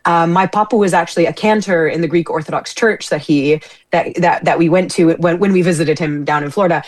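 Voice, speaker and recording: A woman's voice: fairly low pitch, a little fast. A woman, a singer and stage performer, chatting as a guest on a radio interview in a bubbly, effusive way, full of fillers and restarts. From United States. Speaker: guest, opera singer and musical theatre performer. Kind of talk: casual interview chat.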